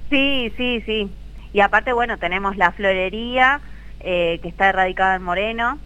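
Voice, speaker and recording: A woman in her thirties with an Argentine accent, a guest on a radio interview, talking earnestly about her work with many quick agreeing "sí, sí"s. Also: medium pitch, sharp tone, smooth, muffled recording. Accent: Argentine Spanish. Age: 30s. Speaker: guest, embalmer.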